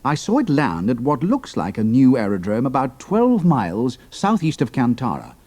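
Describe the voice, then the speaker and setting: An actor playing a shrewd, cool-headed British pilot in an audio drama, speaking in a clipped, dry, understated manner. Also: male voice, mid-range voice, wildly swooping pitch, moderate pace, a little mellow, no room sound. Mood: guarded, dutiful.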